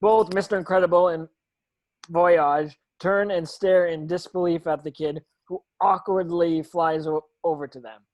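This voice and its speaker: Fairly high-voiced man narrating a script. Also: steady tone, unhurried, dull audio. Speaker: narrator reading stage directions. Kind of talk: scripted narration at a table read.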